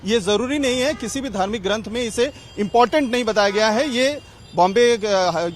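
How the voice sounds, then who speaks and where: Male TV field reporter, Indian accent, high voice, street vox pops with faint traffic behind. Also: normal speed. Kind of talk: street vox pop interviewing. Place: outdoors on a city street near a mosque.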